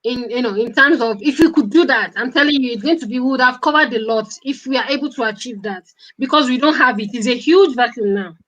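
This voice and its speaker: A woman's voice: on the high side, quick pace, a bit bright, poor audio. A woman chatting live as a guest writer, earnest and emphatic, often repeating her phrases. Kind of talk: casual live chat. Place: at home abroad.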